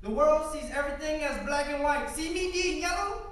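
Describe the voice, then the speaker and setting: High-voiced young man performing spoken word in an echoey hall. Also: normal speed, a bit bright. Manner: rhythmic rhyming delivery with punchy wordplay; casual, joking asides to the crowd. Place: stage at a campus culture event. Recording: echoey hall, distant mic, background noise. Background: audience ambience and laughter.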